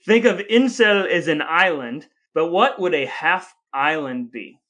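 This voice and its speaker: A man's voice: fairly high pitch, expressive, measured pace, fairly bright tone, highs cut off. A man hosting a casual podcast, joking and teasing as he plays quizmaster in loose conversational banter. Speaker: podcast host, playful quizmaster. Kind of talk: casual banter between brothers.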